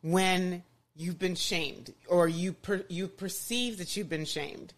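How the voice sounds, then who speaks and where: This is a woman hosting a podcast, with a voice that sits a little low for a woman. She talks with enthusiasm in a loose, conversational way. She often restarts her sentences and fills her talk with "you know.". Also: takes it slowly. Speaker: podcast host. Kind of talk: casual podcast chat.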